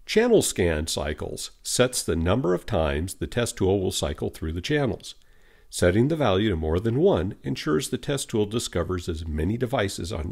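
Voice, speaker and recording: A man narrating a scripted software tutorial in a measured, instructional manner. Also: lowish voice, vocal fry, husky.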